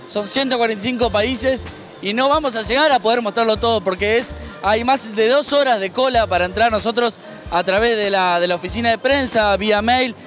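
Male reporter, strikingly high voice, brisk, faint music, outdoors. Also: a bit bright. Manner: brisk, addressing the audience. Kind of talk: TV reporting to camera.

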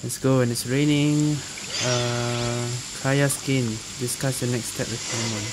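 A woman doing casual gameplay commentary as a streamer, muttering halting reactions. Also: rumbling low, steady tone. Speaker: gaming streamer. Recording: noisy with game ambience behind. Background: game ambience.

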